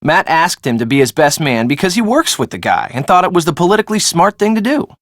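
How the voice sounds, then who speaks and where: A sarcastic young woman in a video game, casual and slangy. Her pitch swings freely as she makes her wry remarks, and the sound is close and dry. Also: big pitch swings, fast, no room sound. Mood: defensive. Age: young adult. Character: breezy, sarcastic young woman, evasive friend of the groom. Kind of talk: video game dialogue acting.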